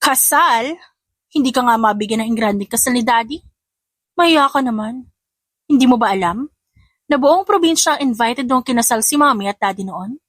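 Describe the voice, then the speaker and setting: A woman voicing a spiteful, sharp-tongued young heiress in a dramatic audio story, taunting and confrontational. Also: on the high side, expressive, moderate pace, pauses often, no room sound. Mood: scornful.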